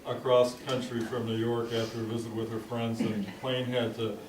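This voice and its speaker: A man giving a wedding toast, telling stories in a chatty, halting way with many fillers. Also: mid-range voice. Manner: anecdotal, lively, with halting fillers. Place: large reception hall. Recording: roomy, distant, noisy home video.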